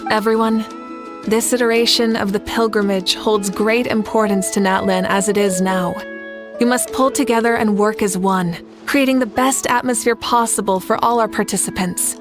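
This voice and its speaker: A woman playing a composed, commanding leader in a fantasy game, speaking in a measured, assured way. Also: medium pitch, steady tone, over music, natural voice. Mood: composed authority. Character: composed, commanding adult woman leader, amused yet dutiful. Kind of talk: animated fantasy game acting.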